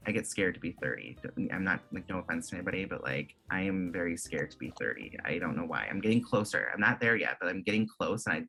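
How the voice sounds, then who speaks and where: A man's voice: deep voice, animated, quick pace, creaky, hardly any pauses, sharp tone, breathy edge. A man in his twenties from Michigan, a guest chatting casually in an interview, full of "like" fillers. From Michigan, USA. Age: 20s. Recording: faint music behind.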